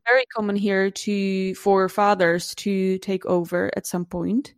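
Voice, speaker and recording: A woman's voice: mid-range voice, little pitch movement, measured pace, clear, resonant tone, dry recording, clean studio sound. A Czech woman in her thirties hosting an interview, curious and friendly, with many fillers. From Czech Republic. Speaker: podcast host. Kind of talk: casual interview chat.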